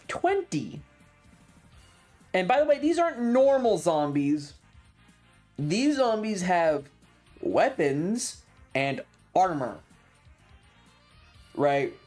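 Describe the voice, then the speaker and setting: Streamer recounting a tabletop adventure: unhurried, expressive, casual and foul-mouthed, androgynous voice over background music. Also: mid-range voice. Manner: animated, frequent swearing. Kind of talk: casual storytelling about a tabletop game.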